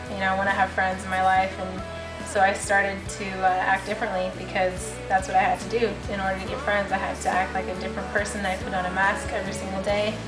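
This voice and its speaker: A woman in her twenties, born in Hungary and raised in California, telling her personal testimony in a halting way, with many ums and restarts. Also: mid-range voice. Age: 20s. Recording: roomy, distant mic with music behind.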